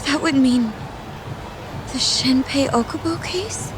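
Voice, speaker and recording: A woman's voice: sits high, slow, noisy background. A woman voicing a concerned, inquisitive and composed young professional in an English video game dub. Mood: worried. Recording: background music under the dialogue.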